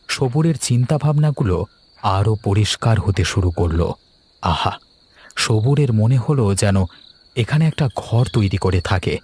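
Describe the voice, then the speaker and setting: A man's voice: fairly low pitch, a little mellow, breathy edge. A man narrating a scripted ghost story for a cartoon.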